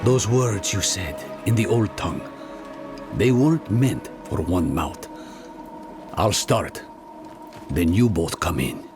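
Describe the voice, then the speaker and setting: A man playing a solemn, honorable warrior in a cinematic fantasy game, speaking in a grave, measured way. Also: low-pitched, lively intonation. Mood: resolute, grave. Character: solemn, honorable male warrior pledging himself to companions. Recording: loud film-score music behind.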